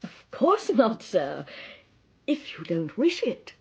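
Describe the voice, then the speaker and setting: An actress playing an earnest, naive English governess with a British accent in a radio drama, genteel and insistent in manner. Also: female voice, fairly high pitch, wildly swooping pitch, slow pace, husky, exaggerated voice. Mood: grateful, deferential.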